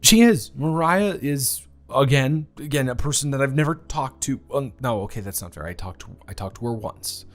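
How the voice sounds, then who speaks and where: A young man playing a new classmate in a romance audio drama, speaking to the listener in a halting, flustered way, overwhelmed and stumbling over his words. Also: mid-range voice, lively intonation. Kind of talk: one-sided audio roleplay to the listener.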